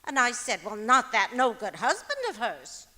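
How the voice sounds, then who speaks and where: A bright, very high voice swoops through every line in a reverberant hall. A woman acts out a cheerful, scatterbrained wife from old-time radio comedy, chattering away innocently. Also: very animated, moderate pace, exaggerated voice. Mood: chatty, oblivious. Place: performing arts center auditorium, live stage. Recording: stage microphone in echoing auditorium.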